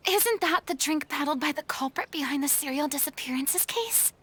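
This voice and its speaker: A woman's voice: high voice, lively intonation, unhurried, sharp tone, full character voice. A woman in a video game playing an assertive, principled young woman with an articulate, commanding manner. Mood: uneasy. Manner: crisp, scolding, articulate.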